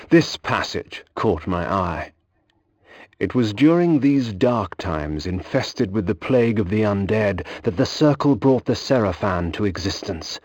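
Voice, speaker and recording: Aristocratic vampire, gothic game narration, brooding, expressive wide-ranging delivery, archaic diction, dry sound. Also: male voice, lowish voice, no echo, dull audio. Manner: theatrical, archaic, solemn diction.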